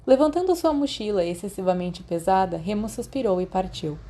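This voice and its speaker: A woman with a Brazilian accent reading a story aloud in an expressive audiobook style. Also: medium pitch, a little fast. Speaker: podfic narrator. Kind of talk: expressive audiobook narration of fan fiction.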